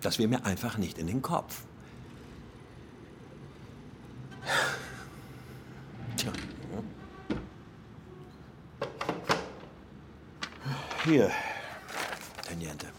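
Casting: male actor as a senior police colonel, lowish voice, measured and courteous, radio drama with faint ambience behind. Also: lively intonation, noisy background, natural voice. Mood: reluctant, persuading. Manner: measured, formal. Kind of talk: German radio drama acting.

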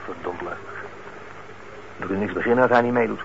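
An actor playing a shady, gruff petty crook who coaxes in underworld slang in a Dutch radio play. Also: male voice, fairly low pitch, fairly quick. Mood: coaxing. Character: shady male petty crook pressuring an old accomplice. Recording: muffled, very noisy old radio recording.

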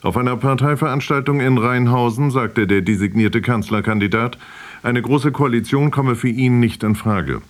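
A man's voice: mid-range voice, normal speed. A man with a standard German accent reading a scripted news bulletin on the radio. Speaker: radio newsreader.

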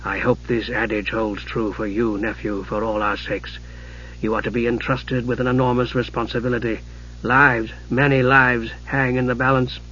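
Man voicing a hushed, cold, disdainful mage. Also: medium pitch, flat delivery, dull audio, slight background noise, pitched below the performer's own voice, close to an everyday voice. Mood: cold, grave. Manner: slow, quiet, menacing delivery. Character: frail male mage, cold, disdainful, thinly amused.

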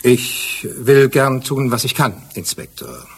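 Husky male gentleman, formal, touchy and grieving, mid-pitched, radio drama. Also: sing-song, slow, full character voice. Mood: subdued, hesitant. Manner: formal, prickly. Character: touchy, indignant bereaved gentleman under police questioning. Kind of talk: German radio play acting.

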